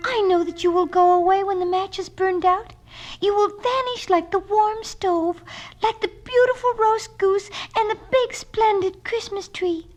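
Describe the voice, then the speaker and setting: A woman's voice: very high voice, a little slow, pitched above the performer's own voice. A woman voicing a poor, freezing little girl who pleads tenderly and breathlessly in a storybook reading. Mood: pleading. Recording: old tape, music behind.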